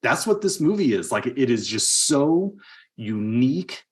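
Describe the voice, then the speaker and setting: A man in early middle age co-hosting a podcast, talking casually about films in a halting, rambling way. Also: mid-range voice, sing-song. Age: 30s or 40s. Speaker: podcast co-host. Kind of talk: casual film discussion.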